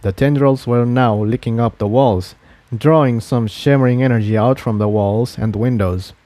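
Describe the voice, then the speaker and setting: A man's voice: medium pitch, steady tone, normal speed, dark tone, dry recording. A man reading an adventure story aloud as scripted narration.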